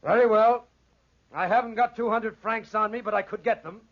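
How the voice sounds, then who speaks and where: A man's voice: high-pitched, steady tone, moderate pace. An actor playing a scheming, insistent man who coaxes and wheedles, in a 1940s radio drama. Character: scheming man coaxing a child with bribes. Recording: muffled 1940s radio recording.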